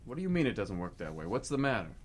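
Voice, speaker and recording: A man's voice: lowish voice, very animated, quick pace. A man acting in a comic radio play as a sardonic, unimpressed policeman, dry and sceptical in manner. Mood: sarcastic, stern.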